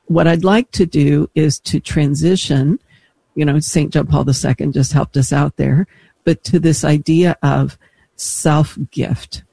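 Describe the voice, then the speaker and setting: Mellow, gravelly male talk show host. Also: on the high side, steady tone, unhurried, no room sound. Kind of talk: conversational religious talk show.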